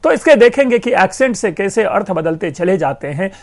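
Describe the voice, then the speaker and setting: A man with a notably high voice delivers a university-style lecture on language. He explains patiently, calls his listeners friends and leans hard on key words to make a point. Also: very animated, a little fast. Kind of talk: educational lecture.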